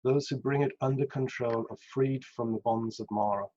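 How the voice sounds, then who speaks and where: A man reading scripture aloud in a measured way. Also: mid-range voice, steady tone, mellow. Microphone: video call over Zoom. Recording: dull, lo-fi video call.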